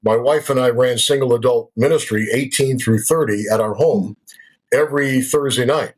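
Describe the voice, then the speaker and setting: A man in his sixties, a former radio broadcaster, telling his life story as an interview guest in a reflective, unhurried way. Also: mid-range voice, breathy edge. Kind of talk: personal testimony in conversation.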